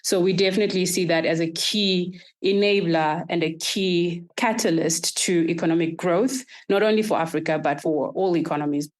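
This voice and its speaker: A woman from Zimbabwe, answering podcast interview questions in a measured, structured way. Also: fairly low pitch, steady tone. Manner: measured, structured, explains in lists. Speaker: guest, startup accelerator executive.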